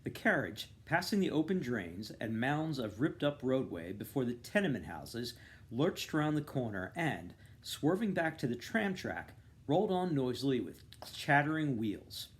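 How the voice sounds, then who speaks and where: Man reading a novel with lively intonation. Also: medium pitch, normal speed. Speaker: reader of a novel, volunteer. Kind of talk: expressive literary narration.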